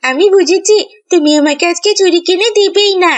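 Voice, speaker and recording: Cartoon little girl, adult female performer, high-pitched, wheedling and headstrong. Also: fairly quick. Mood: pleading, insistent. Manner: whiny, insistent. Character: stubborn, pleading little village girl. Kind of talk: cartoon voice acting.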